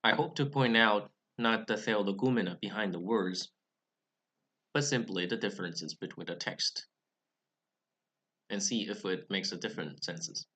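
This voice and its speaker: Young guy, english not his first language, lowish bright voice, careful measured talk. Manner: careful, measured delivery with occasional restarts. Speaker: Bible study channel host. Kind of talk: scripted explanatory talk to camera.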